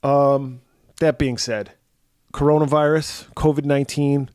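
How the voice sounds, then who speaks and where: A man in his forties, hoarse and raspy after being ill, co-hosting a podcast in casual chat, rambling with fillers and restarts. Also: mid-range voice, flat delivery, measured pace, darkish tone, dry recording, studio quality. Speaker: podcast co-host.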